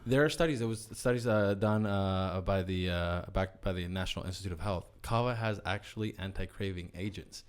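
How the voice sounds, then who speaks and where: A man's voice: deep voice, a little fast, hardly any pauses, clean tone. Enthusiastic man, Spanish native speaker. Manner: animated, enthusiastic explainer. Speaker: company executive guest. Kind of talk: casual podcast conversation.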